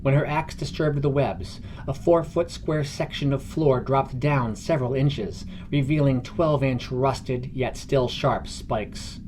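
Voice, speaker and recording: A man's voice: mid-range voice, slow, few pauses, a little mellow, slight background noise. A man reading scripted narration for a fantasy adventure podcast.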